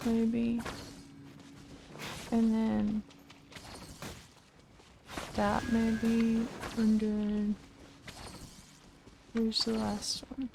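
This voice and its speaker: A woman's voice: fairly high pitch, monotone, drawn-out words, vocal fry, pauses often, over music. A woman streaming a game, casually muttering to herself as she works out a puzzle.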